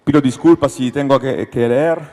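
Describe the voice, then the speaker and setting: An Italian man with a mid-pitched voice reads prepared remarks in careful, slightly halting Spanish. His Italian accent comes through, and the large hall adds a long echo. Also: a little mellow. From Italy. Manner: careful, slightly halting non-native delivery. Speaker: member of European Parliament, panel speaker. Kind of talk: prepared speech read aloud. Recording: long reverb of a conference hall.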